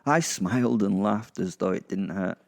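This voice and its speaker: A man's voice: on the low side, moderate pace, vocal fry. A British man voicing a sardonic, vengeful, foul-mouthed werewolf who narrates a horror-comedy audio drama in a rambling, menacing way. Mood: bitter menace.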